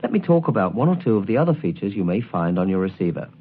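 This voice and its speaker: Man narrating, lively and slightly husky. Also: on the low side, quick pace, phone-quality sound. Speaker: presenter of a shortwave listening guide. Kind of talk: scripted instructional narration.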